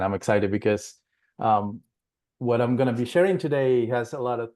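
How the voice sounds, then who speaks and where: A man's voice: on the low side, darkish tone. A man from Peru presenting a planning webinar, speaking with many fillers and restarts.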